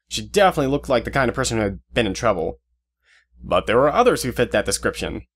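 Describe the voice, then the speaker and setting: A man narrates a scripted audio drama. His voice sits at a medium pitch, and music plays faintly behind his storytelling.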